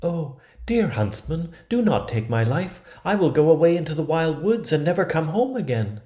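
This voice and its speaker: A man's voice: on the high side, sing-song, normal speed, dark tone, pitched above the performer's own voice. A man voicing a frightened, pleading seven-year-old girl in a fairy tale. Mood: tearful pleading. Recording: roomy, echoing sound.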